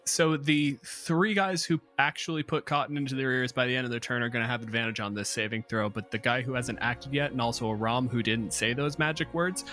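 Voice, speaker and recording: A man with an average-pitched voice runs a tabletop game as game master. He narrates scenes and talks through rulings casually, swearing now and then. Faint music plays behind him. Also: a little fast, few pauses, sharp tone.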